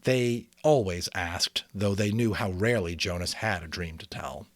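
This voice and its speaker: Male amateur narrator-host: lowish pitch, expressive deliberate phrasing, casual storyteller feel, dry recording. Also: dry recording. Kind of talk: dramatic storybook reading with casual asides.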